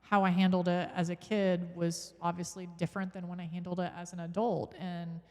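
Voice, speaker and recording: Woman podcast co-host, lowish voice, candid casual talk, long reverb. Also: level tone, a little fast. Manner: candid, reflective, laughs often. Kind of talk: casual chat.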